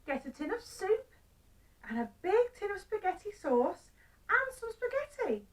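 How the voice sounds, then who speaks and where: A brisk, caring storybook wife, put on by a woman reading aloud, giving instructions in a very high voice. She sounds a little away from the mic. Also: big pitch swings, distant mic, exaggerated voice. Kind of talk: children's storybook character voice.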